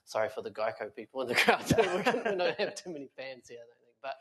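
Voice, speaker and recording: A man's voice: medium pitch, sing-song, a little fast, a bit bright. A man speaking as a guest on a conference panel, earnest and full of fillers and restarts. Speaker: panel guest, tech company founder. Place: conference stage before an audience.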